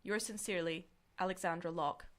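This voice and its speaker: Actress doing fed up young woman, sharp tongued student director, really loud background noise. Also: on the low side, steady tone, fairly quick, lightly acted. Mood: stiff, formal. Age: young adult. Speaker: actor. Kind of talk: audio drama acting. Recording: very noisy background, vehicle-like ambience.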